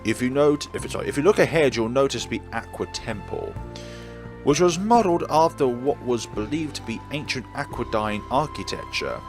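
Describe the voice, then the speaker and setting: A man's voice: mid-range voice, lively intonation, normal speed, music in the background, close to an everyday voice. A man reading a courteous young gondolier. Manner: courteous tour-guide reading. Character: young male gondolier and tour guide.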